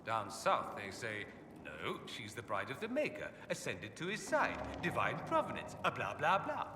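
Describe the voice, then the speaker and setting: Video game mage, male: suave, haughty, sardonic, highly animated intonation, recorded distant in a reverberant hall. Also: normal speed. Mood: sardonic, amused. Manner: suave, sardonic, theatrically arch. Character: witty, haughty adult male mage from a fantasy empire. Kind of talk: video game character dialogue. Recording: echoey hall, clear background ambience noise.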